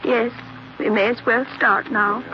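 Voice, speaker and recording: A woman's voice: fairly high pitch, fairly even intonation, measured pace, lightly acted. An actress playing a genteel, sinister married woman with a prim, affected manner in an old radio drama. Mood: mock-mournful. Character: genteel, sinister married woman feigning delicate sensibility and grief. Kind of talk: 1940s radio acting. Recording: noisy, muffled 1940s radio recording.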